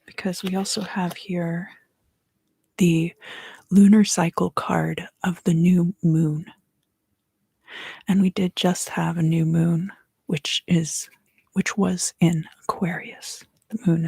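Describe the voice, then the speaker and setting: A woman giving an ASMR tarot reading in a hushed, breathy whisper, gently and with pauses. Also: on the low side, flat delivery, dry recording. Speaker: tarot reader and host.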